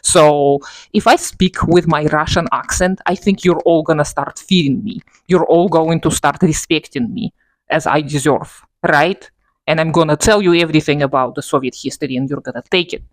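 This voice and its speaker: A woman's voice: deep voice, moderate pace, dry recording, low-quality recording. A woman vlogger ranting unscripted to her camera, exaggerating for effect and mocking herself as she goes. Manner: exaggerating and self-mocking, with frequent laughter. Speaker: political video blogger. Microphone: camera mic.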